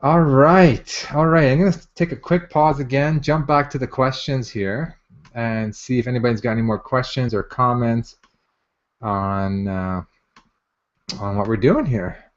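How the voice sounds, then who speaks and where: Male demo host, mid-pitched, informal and upbeat, chatty with viewers; muffled lo-fi webinar audio. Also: steady tone, measured pace. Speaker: software presenter hosting a live online demo. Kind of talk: casual live product demo. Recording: dull, muffled lo-fi online audio.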